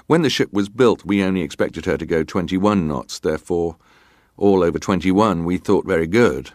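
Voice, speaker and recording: An actor playing a terse, guarded ship's officer giving formal, respectful, matter-of-fact testimony in a dramatised hearing. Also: male voice, low-pitched, moderate pace, darkish tone, no room sound, studio quality, natural voice. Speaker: actor reading a witness's testimony.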